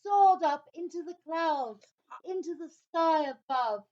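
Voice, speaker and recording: A woman narrating a scripted children's story in an expressive, dramatic way. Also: extremely high pitch, slow, highs cut off.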